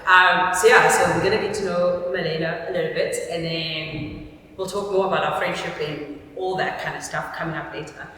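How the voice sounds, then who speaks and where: A woman's voice: lowish voice, fairly even intonation, normal speed, pushed and bright. A woman hosting a casual chat in a playful, teasing, self-deprecating way. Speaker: vlog host. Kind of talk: casual chat to camera and guest. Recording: distant echoey room, faint noise.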